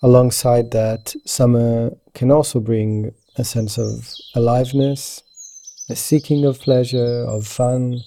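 Male meditation guide, very mellow and dark with creaky low edges, gentle and soothing delivery. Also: level tone, takes it slowly, a little hiss or hum. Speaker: meditation teacher guiding yoga nidra.

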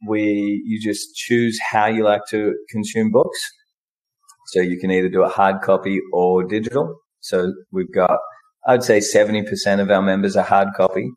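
A man being interviewed as a podcast guest, chatting in a relaxed, hesitant way with many fillers. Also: low-pitched, low-quality recording. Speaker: podcast guest, book club founder. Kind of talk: casual conversation.

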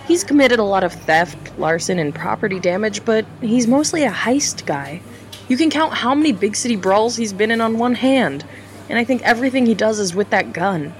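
A woman's voice: on the high side, expressive, normal speed, natural voice. A woman playing an eager young facilitator in an audio drama, earnest and a bit nervous.

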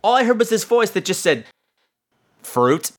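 A man's voice: high-pitched, big pitch swings, normal speed. A man hosting a podcast with a campy, theatrical, chatty comic delivery. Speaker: podcast host.

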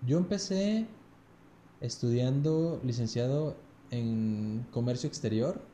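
Mexican man in his 30s, podcast guest, dark mellow voice, relaxed and foul-mouthed, echoey room. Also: mid-range voice, takes it slowly, a little hiss or hum. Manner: relaxed, crude slang, ends phrases with "güey". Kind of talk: casual storytelling chat.

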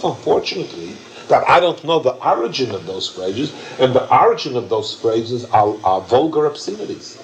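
A man's voice: mid-range voice, a little hiss or hum, poor audio. A man leading an informal question-and-answer session, emphatic and repetitive as he explains. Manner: warm, emphatic, repeats himself. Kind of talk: informal Q&A lecture.

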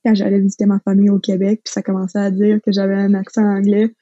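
A woman's voice: mid-range voice, completely flat delivery, fast, mellow, no room sound. A young woman speaking frankly as a podcast guest, laughing easily. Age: young adult. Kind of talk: casual conversation. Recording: dull lo-fi sound.

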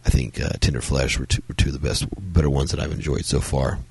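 A man's voice: flat delivery, fast, darkish tone, no room sound. A man hosting a solo film review podcast, talking loosely with lots of fillers, his voice strained by a cold. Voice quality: very deep, gravelly. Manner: rambling, many fillers and restarts. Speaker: podcast host reviewing films. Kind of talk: solo casual review talk.

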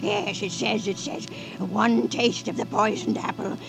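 An actress playing an evil queen turned cackling old witch, slow and gloating, in a 1940s radio drama. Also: medium pitch, fairly even intonation, over music. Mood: gloating glee. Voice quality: creaky, cackling.

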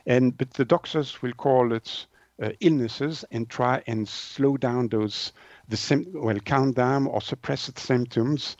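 Guy explaining stuff in an interview, searches for words, medium pitch, dry but kinda dull audio. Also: darkish tone, dry recording. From Switzerland. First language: French. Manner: halting, hesitant delivery with word searching. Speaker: naturopath, interview guest.

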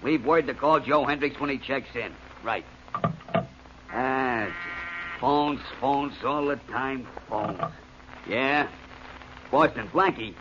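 A man's voice: medium pitch, slow, pauses often, natural voice. An actor playing a harried male music publisher in a 1940s radio drama, brusque and busy. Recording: lo-fi old radio broadcast with faint noise.

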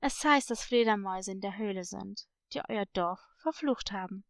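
Woman voicing a mysterious, low-pitched male parrot. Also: moderate pace, vocal fry, smooth, dry recording, studio quality, natural voice. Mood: knowing, foreboding. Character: mysterious, knowing male parrot, a foreboding stranger.

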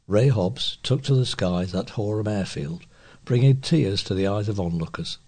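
Husky man reading local news. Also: on the low side, moderate pace, darkish tone. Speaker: volunteer newsreader.

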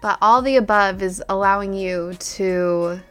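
A woman's voice: mid-range voice, a little slow, fairly bright tone, smooth, soft background music. A woman hosting a podcast, giving a reflective self-help monologue and speaking to the listener in an encouraging way. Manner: addresses listener directly, encouraging. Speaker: podcast host.

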